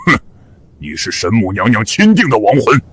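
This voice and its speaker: An actor voicing a grim, menacing underworld soul-catcher with a husky voice. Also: male voice, huge pitch swings, normal speed, full character voice. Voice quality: husky, deep.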